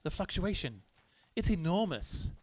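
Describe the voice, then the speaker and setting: A man's voice: sits high, very animated, unhurried, dry recording, heavily acted. A man acting as an earnest, inquisitive doctor in a radio play. His delivery rises and falls a lot, always questioning, in a close dry sound. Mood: wondering. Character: inquisitive, puzzled doctor, the professor's companion. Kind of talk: scripted audio drama acting.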